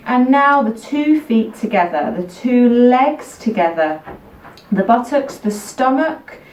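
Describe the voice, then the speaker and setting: A woman guides children through a relaxation meditation in a soothing, repetitive way. Her delivery is markedly slow, with gentle pauses between short phrases. The recording is echoey, with her voice a little away from the microphone. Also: a little high, expressive. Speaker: yoga nidra teacher. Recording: roomy echo, distant microphone.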